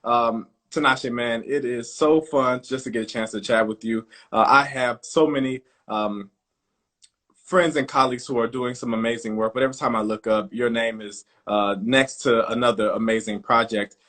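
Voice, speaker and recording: A man's voice: medium pitch, steady tone, moderate pace, fairly bright tone. Enthusiastic American man, podcast host, casual and effusive. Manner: enthusiastic, casual, many uh fillers. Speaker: podcast host, artistic director. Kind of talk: podcast interview.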